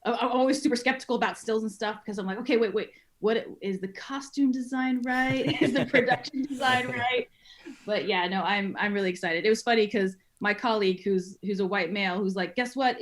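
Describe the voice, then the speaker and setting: A young adult woman, a filmmaker guest, talking enthusiastically and quickly in a casual podcast interview. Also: mid-range voice, a little hiss or hum. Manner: enthusiastic, fast, with laughs.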